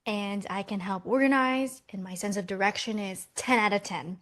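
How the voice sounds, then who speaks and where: A woman's voice: medium pitch, steady tone, moderate pace, a bit bright, close to an everyday voice. A woman playing an upbeat, eager, persuasive Millennial twin in a romcom table read.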